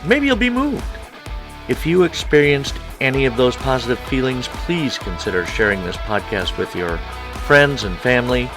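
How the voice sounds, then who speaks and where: A man hosting a podcast, talking in an earnest, halting way and often repeating his words. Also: medium pitch, very animated, over music. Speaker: podcast host. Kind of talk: conversational interview.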